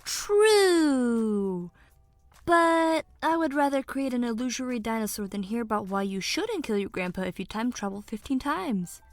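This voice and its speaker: Woman doing sensible young illusionist girl, audio drama, a bit high pitched, dryly wry. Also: lively intonation, unhurried, no echo, faint music behind. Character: sensible, gently chiding young female illusionist student. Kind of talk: audio drama character banter.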